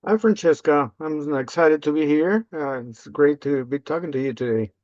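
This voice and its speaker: An older man from Peru, a native Spanish speaker, answering interview questions casually and haltingly with frequent ums and uhs. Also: fairly high pitch, a little mellow, dry recording. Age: 50s or older. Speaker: interview guest, language access specialist. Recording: slightly dull, lo-fi close audio.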